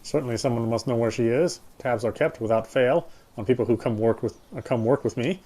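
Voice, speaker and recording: A man reading a game character's story narration aloud, sometimes stumbling and rereading, with music quietly behind him. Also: mid-range voice, a little fast.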